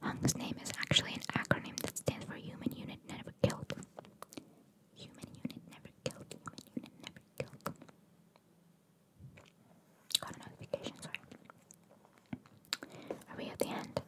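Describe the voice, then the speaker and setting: A woman hosting ASMR, reading trivia in a breathy whisper with chatty, informal, opinionated asides. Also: moderate pace, pauses often. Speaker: ASMR host.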